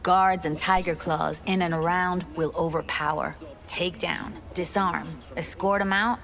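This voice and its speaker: Young techie woman in a game, lowish voice, determined, clipped street slang. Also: steady tone, measured pace, gravelly, fairly bright tone. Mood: resolute. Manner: informal, clipped street talk. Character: determined, plain-spoken young techie woman rallying a crew. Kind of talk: video game voice acting.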